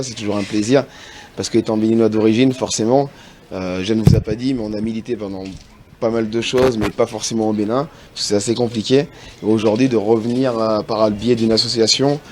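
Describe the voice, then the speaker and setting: A man from France speaking as a TV studio guest, earnest and halting, often restarting his sentences. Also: lowish voice, darkish tone, slight background noise. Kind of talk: casual TV interview answers. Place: TV studio set.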